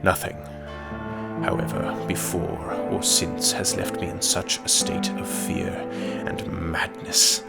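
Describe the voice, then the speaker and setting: A man playing a haunted, reclusive professor narrating past horrors in a formal, deliberate, ominous way for an audio horror play. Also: low voice, very animated, vocal fry, over loud music, exaggerated voice. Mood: haunted, grave. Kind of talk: scripted first-person horror narration.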